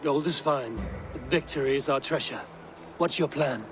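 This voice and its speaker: An actor playing a stoic, steadfast Viking raider hero in a video game, speaking in a measured, plain, firm way. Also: male voice, medium pitch, close to an everyday voice. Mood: steady. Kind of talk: dramatic video game cutscene acting. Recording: game audio with faint background noise.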